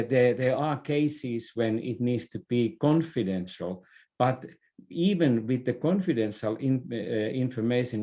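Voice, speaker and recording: A man speaking as an interview guest, explaining ideas in an earnest, reflective way with halting phrasing. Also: medium pitch, warm tone. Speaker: podcast guest, business expert.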